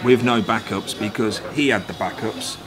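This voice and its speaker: A man's voice: fairly low pitch, normal speed, over music. Wry British man chatting to camera. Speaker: vlogger. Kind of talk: casual vlog chat.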